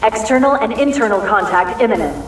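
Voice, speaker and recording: A woman's voice: medium pitch, expressive, brisk. A woman playing a formal, clipped military ship announcer over an echoing public-address system in a video game. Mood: urgent, formal. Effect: echoing public-address speaker. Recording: echoey, distant announcement over loud music.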